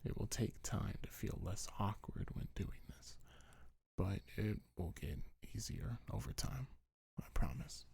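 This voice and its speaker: A man speaking in a deep, breathy, husky voice, half-whispering gentle, reassuring affirmations in a soothing ASMR style. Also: measured pace, a little hiss or hum. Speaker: ASMR creator reading a comfort script.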